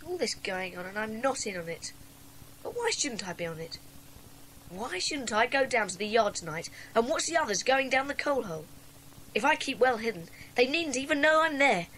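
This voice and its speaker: Defiant, left-out schoolboy played by a woman, high voice, muttering resolve, children's mystery audiobook. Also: sing-song, normal speed, fairly bright tone, a little hiss or hum, poor audio. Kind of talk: dramatised children's audiobook acting.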